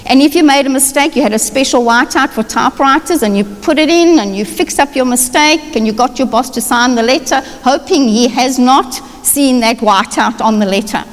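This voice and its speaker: A woman's voice: high-pitched, normal speed, hardly any pauses. A woman pastor with a South African accent, telling personal stories in a chatty, anecdotal sermon. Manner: chatty, anecdotal, self-deprecating. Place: church sanctuary. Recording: reverberant church hall.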